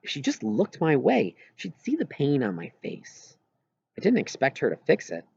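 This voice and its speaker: A man's voice: on the high side, wildly swooping pitch, normal speed, gravelly, darkish tone, slightly rough, no room sound, lo-fi sound. A man reading a children's novel aloud in first-person narration, as an audiobook reader.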